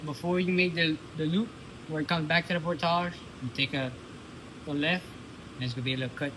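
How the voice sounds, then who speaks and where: A young man with a Cajun accent, talking quickly about the woods and giving directions in an interview clip. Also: a little high, steady tone. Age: young adult. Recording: outdoor clip with humming noise.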